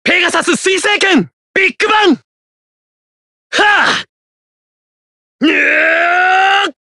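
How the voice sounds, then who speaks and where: Brash, high-pitched, hot-blooded boy warrior. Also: slow, vocal fry, dry recording, clean studio sound, full character voice. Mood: fierce, defiant. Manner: shouted attack calls, brash and defiant. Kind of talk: anime battle game voice lines.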